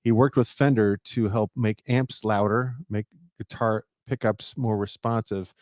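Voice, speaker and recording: A man's voice: lowish voice, fairly even intonation, unhurried, darkish tone, no room sound, clean studio sound. An American man co-hosting a casual podcast chat, speaking enthusiastically like a fan. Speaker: podcast host.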